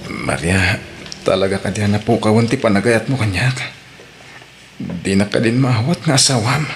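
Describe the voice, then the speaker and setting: Creaky, pleading Filipino husband. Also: male voice, fairly low pitch, expressive, normal speed, slight background noise. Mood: pleading, remorseful. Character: remorseful pleading unfaithful husband. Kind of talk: Ilocano radio drama acting. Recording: music behind the voice.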